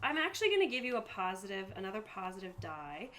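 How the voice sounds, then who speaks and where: Bright-voiced woman running a tabletop game. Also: mid-range voice, very animated, fast talker. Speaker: game master and player in a tabletop roleplaying stream. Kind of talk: casual game narration and rules talk. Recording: faint background noise.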